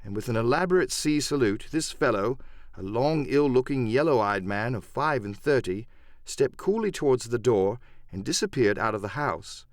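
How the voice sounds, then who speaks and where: A man narrates an audiobook in a dramatic storytelling style. His mid-pitched voice moves expressively up and down, and the recording is close and dry. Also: animated, normal speed, no echo. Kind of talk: dramatic scripted first-person narration.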